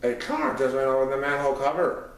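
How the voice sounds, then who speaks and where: A man's voice: medium pitch, fairly quick. A man reading a first-person story aloud as a scripted narration. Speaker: audiobook narrator. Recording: echoey room, far from microphone.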